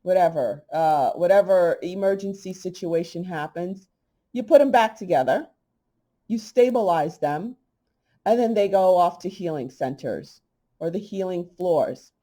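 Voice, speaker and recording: A middle-aged woman talking straight to her audience in a blunt, emphatic, conversational way. Her voice is a little hoarse, mellow and dark-toned, and sits on the low side for a woman. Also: lively intonation, measured pace. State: slightly hoarse. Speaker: holistic health channel host. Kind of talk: casual monologue to camera.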